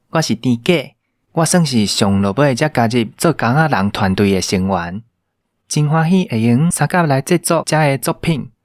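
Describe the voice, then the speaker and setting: A man's voice: mid-range voice, no echo, clean studio sound. A man giving a casual speech of thanks as a team member.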